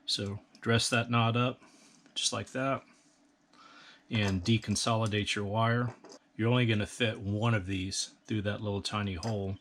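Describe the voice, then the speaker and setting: Calm low-voiced man giving practical instructions. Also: measured pace, a little hiss or hum. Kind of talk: step-by-step how-to instruction.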